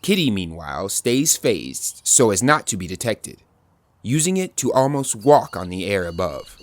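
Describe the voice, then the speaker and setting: A man's voice: lowish voice, sing-song, moderate pace. A man narrating superhero action in an audio drama, in a scripted dramatic storytelling style. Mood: dramatic narration.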